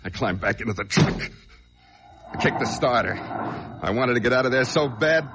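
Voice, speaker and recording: An actor playing a nervous, evasive truck driver in an old-time radio drama, pleading in shifty, slangy talk. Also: male voice, medium pitch, expressive, normal speed, very noisy, close to an everyday voice. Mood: tense narration. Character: nervous, evasive truck driver cornered by a gun, narrating his tale.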